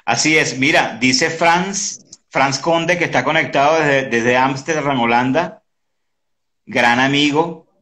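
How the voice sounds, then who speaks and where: A man in his early fifties hosting a casual interview on a video call, speaking haltingly and often repeating words. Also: mid-range voice, sharp tone. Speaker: interview host. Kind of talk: casual conversational online interview. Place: indoor room at home. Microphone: video call, device close in front.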